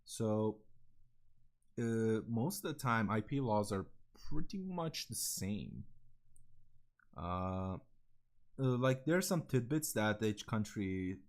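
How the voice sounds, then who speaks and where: A man's voice: on the low side, expressive, slow, long pauses. Blunt Serbian-accented young man. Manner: blunt and profane, with lots of fillers. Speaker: vtuber streamer. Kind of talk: casual rambling stream chat.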